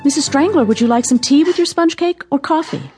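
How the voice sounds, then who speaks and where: An actress playing an earnest, inquisitive amateur sleuth in an audio drama, matter-of-fact and questioning, with a husky voice. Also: female voice, high-pitched, expressive, a little fast, over music. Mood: curious.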